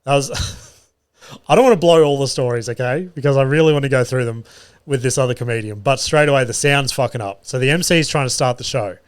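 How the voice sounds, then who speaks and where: A man's voice: medium pitch, a little fast, smooth. Casual sweary man. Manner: loose and sweary, laughs at his own jokes. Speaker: podcast host and comedian. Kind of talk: casual solo podcast storytelling. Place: studio.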